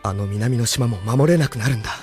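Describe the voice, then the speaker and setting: Actor as an anguished, earnest young captive. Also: male voice, medium pitch, fairly quick, darkish tone, soft background music, lightly acted. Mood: troubled resolve. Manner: heartfelt, solemn. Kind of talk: Japanese audio drama monologue.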